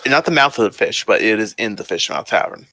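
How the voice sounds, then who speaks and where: A man acting as game master, his voice low-pitched with a bright, forceful edge. He explains the setting loosely, full of "like" and "uh," dropping the odd swear. The sound is dry and close to the mic. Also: lively intonation, fast talker, no echo, rough recording. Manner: loose, filler-heavy explaining with casual swearing. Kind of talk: running a tabletop game over voice chat.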